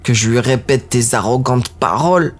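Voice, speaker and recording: A man voicing a cocky, mocking male dark elf prisoner in an audio drama. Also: medium pitch, moderate pace, faint music behind, close to an everyday voice. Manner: taunting, sneering, then begging in panic.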